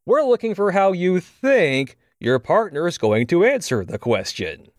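An actor playing a slick, wry television game show host, delivering polished hosting patter. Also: male voice, high voice, very animated, measured pace, dry recording. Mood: slick, teasing. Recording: noisy studio crowd behind.

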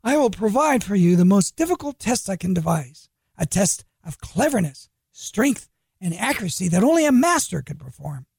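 A man's voice: high voice, normal speed, creaky, slightly rough, dry recording, pitched above the performer's own voice, heavily acted. Man putting on a sly, wheedling street trader. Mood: coaxing. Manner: wheedling, flattering. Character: sly smooth-talking male street trader.

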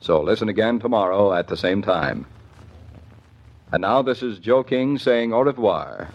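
An American man announcing in a polished, measured 1940s radio style, reading scripted sponsor copy. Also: fairly low pitch, animated. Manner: polished, measured sales delivery. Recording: dull old radio recording.

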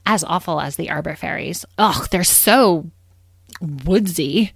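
A woman's voice: on the low side, slow, vocal fry, breathy edge, dry recording, heavily acted. A woman voicing a haughty, squeamish fairy attendant in a storybook, scolding and disdainful. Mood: disgusted. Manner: snippy, disdainful.

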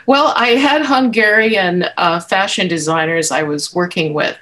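Slow, halting woman actress guest. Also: fairly low pitch, fairly bright tone. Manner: halting, unhurried, many restarts and fillers. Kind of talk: casual interview chat.

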